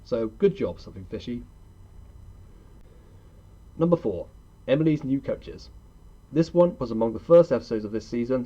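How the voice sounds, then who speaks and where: A man's voice: mid-range voice, dark tone, muffled recording. A young man narrating a scripted countdown review in an opinionated, conversational manner. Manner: opinionated, conversational, rhetorical questions. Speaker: video host and reviewer.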